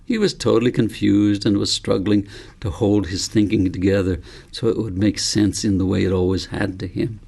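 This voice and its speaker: Calm wise old grandfather voiced by a male reader, creaky. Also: on the low side. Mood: firm, wise. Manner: measured, philosophical, explaining patiently.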